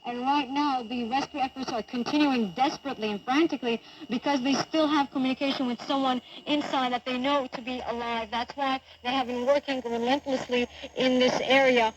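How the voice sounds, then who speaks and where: A woman's voice: high voice, steady tone, brisk, hardly any pauses, lo-fi sound, a little hiss or hum. A woman giving a live news report as a field correspondent, outdoors on location. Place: outdoors in a city centre.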